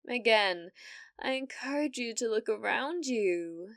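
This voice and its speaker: Woman acting a slow, cruel British villainess. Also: medium pitch, no room sound, studio quality. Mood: scornful. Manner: taunting, theatrical, slipping into breathy whispers. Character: cruel, domineering female villainess sorceress, gloating and possessive. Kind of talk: ASMR fantasy roleplay, dramatic monologue.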